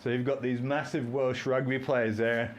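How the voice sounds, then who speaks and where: A man's voice: mid-range voice, flat delivery, moderate pace, slight background noise. A man, hosting a fitness live stream, rambling and joking while he does squats and counts reps aloud. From Australia. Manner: talks while doing squats.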